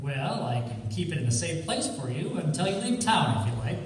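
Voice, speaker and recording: A man's voice: fairly high pitch, very animated, fairly quick, a little hiss or hum. A man playing a genial, gallant rogue hero who is easygoing and obliging, in an old-time radio crime drama. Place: live stage performance in a hall. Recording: very echoey hall, distant mic.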